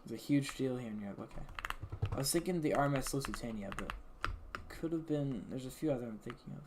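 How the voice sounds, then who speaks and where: A man's voice: mid-range voice, quick pace. A man streaming a game, chatting casually with viewers and thinking aloud with many restarts. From English-speaking country. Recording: background keyboard clicks and noise. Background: keyboard typing and mouse clicks.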